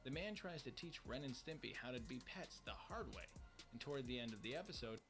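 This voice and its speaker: A man reading a scripted countdown of cartoon facts in an even, read-aloud manner. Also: mid-range voice, a little fast. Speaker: list video narrator. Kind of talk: scripted narration. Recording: music bed under the voice. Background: background music.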